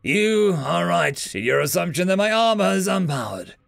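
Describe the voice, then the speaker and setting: A man voicing a wounded, defiant male human soldier in armor who rasps and growls his lines. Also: high voice, sing-song, moderate pace, a bit bright, no echo, pitched above the performer's own voice, exaggerated voice. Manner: rasping, growling, dry defiance.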